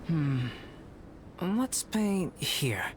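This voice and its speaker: A man voicing a calm, courteous young male artist in a video game, with a measured, formal, scholarly manner. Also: fairly high pitch, background noise, exaggerated voice. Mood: calm, composed. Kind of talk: animated video game voice acting.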